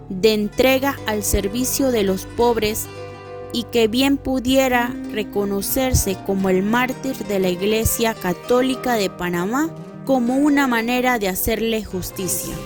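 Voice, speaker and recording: A woman narrating a formal, solemn script. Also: on the high side. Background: music.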